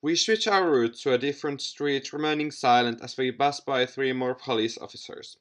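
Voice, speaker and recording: A man's voice: medium pitch, moderate pace, a bit bright. A man hosting a let's play, reading visual novel text aloud with commentary and often tripping over words and repeating them. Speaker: gaming video host.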